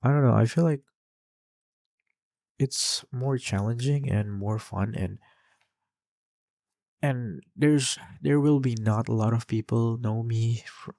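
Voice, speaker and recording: A man's voice: mid-range voice, takes it slowly, long pauses, warm tone, dry recording. A young man with an Indonesian accent, chatting loosely while playing a game, with lots of fillers and restarts. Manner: rambling, many fillers and restarts. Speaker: gaming channel host.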